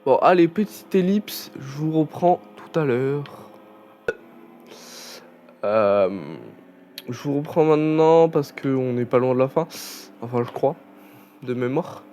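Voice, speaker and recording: Slow, rambling young man chatting. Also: mid-range voice, animated, dark tone, slight background noise. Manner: rambling, chatty with many fillers and restarts. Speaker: gaming channel host. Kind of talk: casual gameplay commentary.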